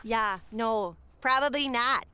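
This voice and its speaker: A woman's voice: on the high side, takes it slowly, bright, forceful tone, slight background noise. A woman playing a scheming, impatient small-town mayor in a comedy audio drama, bossy and dismissive in a theatrical way. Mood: exasperated, scheming.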